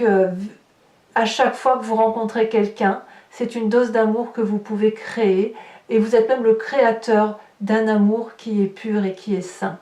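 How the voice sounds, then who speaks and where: High-voiced woman, distant and echoey. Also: darkish tone. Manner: explanatory, addresses listeners directly, searches for words aloud. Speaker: tarot reader, horoscope host. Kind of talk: improvised spoken tarot reading addressed to viewers. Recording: roomy echo, distant microphone.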